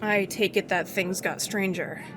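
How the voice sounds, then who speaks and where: A woman's voice: medium pitch, steady tone, natural voice. An actress playing an inquisitive female friend who fires off questions and doubts what she hears. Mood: skeptical. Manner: inquisitive, quick questions, skeptical. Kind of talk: audio drama acting. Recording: loud background noise.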